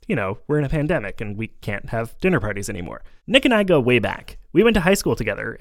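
Podcast host, man, quick and chatty, close dry studio mic. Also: a little high, dry recording. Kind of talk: casual chat. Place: home studio.

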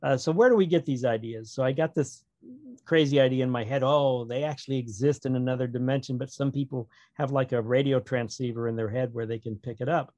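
A man talks casually as an author being interviewed. His manner is wry and rambling, like someone telling stories off the cuff. The voice sits at a middling pitch, recorded dry with very little room sound. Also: steady tone, fairly quick, mellow, dry recording. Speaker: author, podcast guest. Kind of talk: casual interview chat about own writing.

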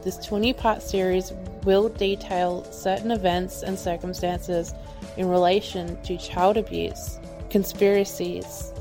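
A woman's voice: lowish voice, flat delivery, a little slow. Stuffy woman hosting a podcast, reading her script, faint music under it. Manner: careful reading with occasional stumbles over names. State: stuffy nose. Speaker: podcast host. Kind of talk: reading a scripted true-crime rundown.

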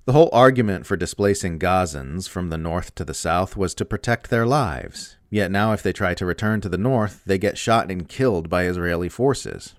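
Male narrator for written commentary, deep register, dry studio-like sound. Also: animated, moderate pace, few pauses, no echo. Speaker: essay reader. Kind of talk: scripted narration of a political essay.

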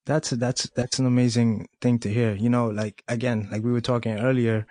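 A man from Colombia, hosting a podcast interview and asking questions in a halting way, full of "you know" fillers. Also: medium pitch, flat delivery, warm tone, dry recording, studio quality. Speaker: podcast host.

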